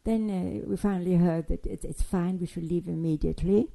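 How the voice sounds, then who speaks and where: An older woman telling her life story in a halting, digressive way, with frequent restarts and fillers. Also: fairly low pitch, fairly even intonation, vocal fry, dark tone. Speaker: interviewee recounting childhood. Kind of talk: spoken memoir, oral history.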